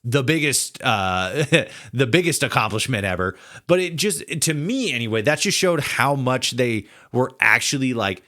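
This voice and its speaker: A man in a casual podcast conversation, speaking haltingly as he searches for words and repeats himself. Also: medium pitch, lively intonation, bright, forceful tone. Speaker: podcast guest, audio drama writer. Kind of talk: casual chat.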